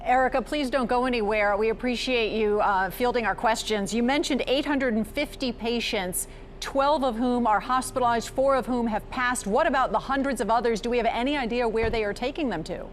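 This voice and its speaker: A woman news anchor questioning a reporter live, speaking deliberately and probingly while sometimes searching for words. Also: a little high, slight background noise.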